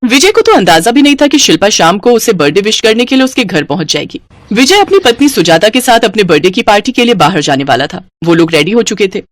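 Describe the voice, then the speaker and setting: A woman's voice: mid-range voice, very animated, quick pace, no room sound, studio quality. A woman reading scripted moral-story narration as the storyteller.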